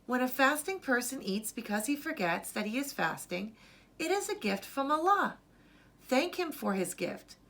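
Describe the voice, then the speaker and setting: Storybook mother, voiced by a woman reader: soothing, tender, higher register, very expressive. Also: normal speed, vocal fry, full character voice. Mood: comforting. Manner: soothing, affectionate. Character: gentle, reassuring young mother of small children. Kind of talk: children's storybook read-aloud.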